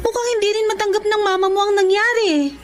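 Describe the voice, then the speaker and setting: Caring, sensible young Filipina confidante, gently chiding, very high voice, light ambient noise, radio drama. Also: female voice, fast talker. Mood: concerned. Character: sensible, concerned young female best friend. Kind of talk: Tagalog radio drama acting.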